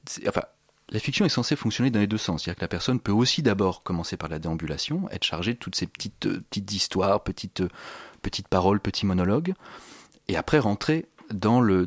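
A man's voice: on the low side, animated, fast talker, no echo. A man explaining his sound installation in an interview, searching for his phrasing and repeating words as he goes.

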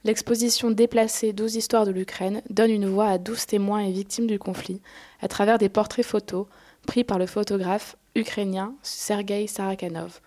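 Flat-voiced female radio reporter. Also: mid-range voice, normal speed. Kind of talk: scripted report narration.

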